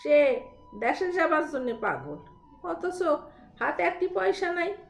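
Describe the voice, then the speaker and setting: A woman voicing a chatty, animated male boatman telling gossip in an audio story. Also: high voice, unhurried, creaky, reverberant, faint music behind, exaggerated voice. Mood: chatty, amazed.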